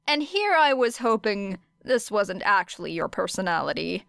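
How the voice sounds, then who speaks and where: A woman voicing a weary, sardonic teenage girl student in a deadpan, understated manner. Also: mid-range voice, normal speed, creaky, clear, resonant tone, no echo. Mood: cold sarcasm. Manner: dry, unemotional, understated.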